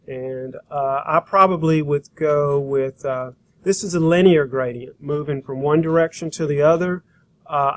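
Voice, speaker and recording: A man with a slightly high voice walks learners through a software demonstration. His delivery is informal and folksy, with a halting flow as he thinks aloud. He sounds like an instructor showing each step while he does it. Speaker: graphic design instructor.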